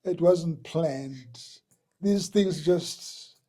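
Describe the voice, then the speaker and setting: Husky older man telling slow stories. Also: fairly high pitch, warm tone. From Tanzania. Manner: slow, hesitant, with many uhs and repeats. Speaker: interview guest, musician. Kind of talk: relaxed storytelling.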